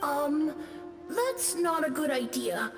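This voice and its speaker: A woman's voice: high voice, slow pace. A woman voicing a timid, lost young boy ghost who talks hesitantly and apologetically, in a video game. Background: game background music.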